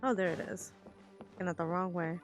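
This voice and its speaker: A woman's voice: fairly low pitch, fairly even intonation, brisk, faint music behind. Casual woman streamer thinking aloud. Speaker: game streamer. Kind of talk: casual live game-stream commentary.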